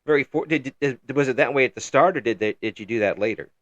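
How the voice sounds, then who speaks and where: A man hosting a casual remote interview, agreeable and quick to laugh, often restarting his sentences. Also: medium pitch, fairly bright tone, dry recording. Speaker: interview host. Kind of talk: casual conversation. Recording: dull lo-fi call audio.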